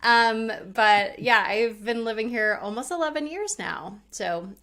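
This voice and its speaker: A woman's voice: on the high side, unhurried, fairly bright tone. A woman in her thirties from Hawaii, a rental investor chatting candidly and laughing easily as a podcast guest. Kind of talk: casual interview chat.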